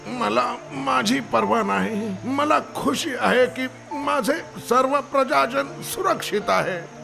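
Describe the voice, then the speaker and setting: A man's voice: very high voice, slow pace, creaky, over music, heavily acted. A man voicing a noble, protective monkey king in a children's cartoon story, earnest, commanding and dramatic. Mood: weak, selfless.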